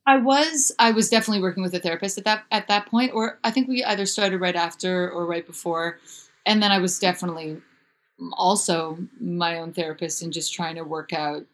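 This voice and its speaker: A young woman speaking candidly as an interview guest, chatty with lots of fillers and restarts, her pitch jumping up when she gets animated. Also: brisk. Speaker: author and musician guest.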